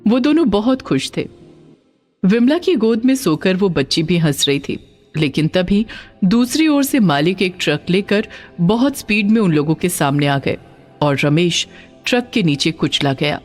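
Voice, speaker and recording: A woman reading a scripted story as narrator, with a dramatic, expressive delivery. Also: lowish voice, unhurried, soft background music.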